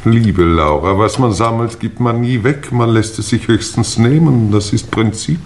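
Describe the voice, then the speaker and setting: An actor playing a haughty, dry-humoured English lord in a 1950s German radio play, aristocratic and commanding. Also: male voice, lowish voice, normal speed, a little hiss or hum, close to an everyday voice. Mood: dry, musing.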